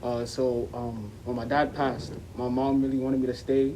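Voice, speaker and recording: A nineteen-year-old man from Brooklyn, a college student guest speaking hesitantly with many pauses and "um"s. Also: medium pitch, little pitch movement, mellow, slight background noise, poor audio. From Brooklyn, New York. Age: teens.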